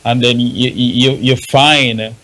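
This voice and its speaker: A man with a Jamaican accent explaining things informally on a talk show, halting and repeating himself for emphasis. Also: medium pitch, fairly even intonation, pushed and bright, low-quality recording. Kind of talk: informal explanatory radio discussion.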